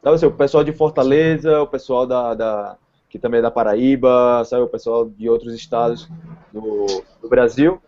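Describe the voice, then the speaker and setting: A man's voice: mid-range voice, fairly even intonation, moderate pace. A Brazilian man with a Northeastern accent, hosting an interview in a chatty, encouraging way. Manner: chatty, encouraging, strings thoughts together. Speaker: host and language teacher. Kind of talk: casual interview chat. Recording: dull lo-fi sound with crowd noise behind.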